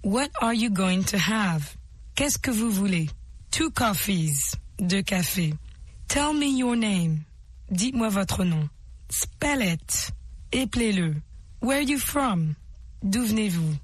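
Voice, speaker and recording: Woman, lesson narrator, breathy, dry close sound. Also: mid-range voice, animated, dry recording. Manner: measured, instructive. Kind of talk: scripted language-lesson narration.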